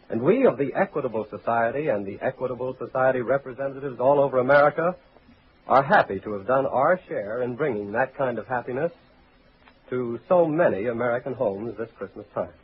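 A man announcing in the scripted 1940s radio style, formal, measured and declamatory, as a sponsor's spokesman. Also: medium pitch, husky. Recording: tinny, faintly noisy 1940s radio recording.

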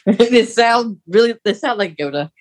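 A podcast co-host chats casually and explains as they go. The voice sits at a middle pitch. The delivery is very slow and unhurried. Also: lively intonation.

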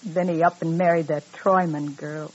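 A woman's voice: sits low, steady tone, normal speed, breathy edge. An actress playing a crazy, spurned frontier woman in an old radio western, brooding and defiant, with rustic, repetitive speech. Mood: bitter, wounded. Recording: dull, noisy old radio recording.

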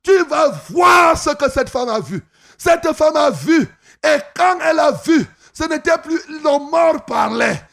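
High, gravelly shouting preacher man. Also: lively intonation. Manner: shouting, drawn-out, sing-song phrases. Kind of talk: shouted revival sermon.

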